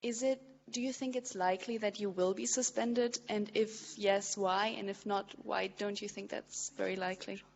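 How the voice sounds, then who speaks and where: A woman's voice: medium pitch, fairly even intonation, normal speed, creaky, few pauses, highs cut off, slight background noise. A woman moderator frames a question for a panel guest. She is tentative and leans on fillers as she builds toward it.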